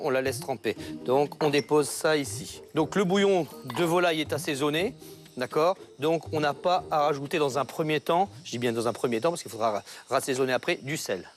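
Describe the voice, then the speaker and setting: A man demonstrating a recipe as a chef, giving step-by-step instructions and checking he is understood. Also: mid-range voice. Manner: instructive, checking in with short tags. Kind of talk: cooking demonstration. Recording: music behind the voice. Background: background music.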